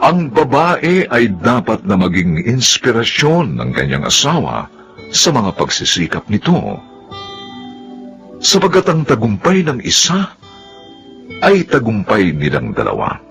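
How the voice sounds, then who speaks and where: Casting: male drama narrator, slow and creaky, solemn announcer style over music. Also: mid-range voice, wildly swooping pitch, a bit bright. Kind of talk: scripted radio drama narration.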